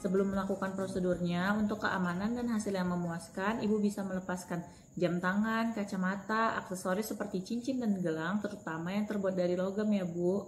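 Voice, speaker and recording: A woman's voice: mid-range voice, steady tone, fairly quick. A young adult woman, politely explaining things to a patient in a rehearsed clinical role-play. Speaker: student operator addressing a patient. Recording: roomy echo with music behind.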